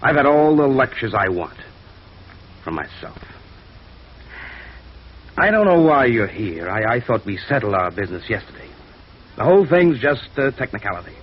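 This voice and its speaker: A man acting a bitter, stubborn, defensive jailed embezzler in a 1950s radio noir drama, agitated and often halting. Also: medium pitch, very animated, husky. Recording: muffled, noisy old radio recording.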